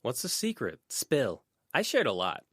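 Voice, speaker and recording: Teenage schoolgirl acted by a man, raised pitch, nosy, insistent, probing, audiobook character, dry sound. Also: wildly swooping pitch, a little slow, a bit bright, breathy edge, no room sound, heavily acted. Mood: prying. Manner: probing, pushy questioning.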